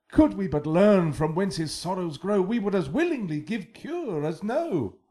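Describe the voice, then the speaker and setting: Grave, anxious Verona lord; Shakespearean radio play, expressive and soft-toned. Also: male voice, high voice, a little slow. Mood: worried. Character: grave, worried father, noble lord of Verona. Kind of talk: classical Shakespearean radio acting.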